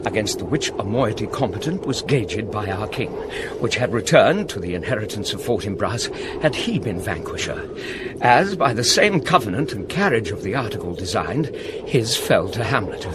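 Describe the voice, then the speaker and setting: An actor playing a scholarly sceptic shaken by a ghost, speaking classical verse eloquently and emphatically in an audio drama. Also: male voice, lowish voice, very noisy.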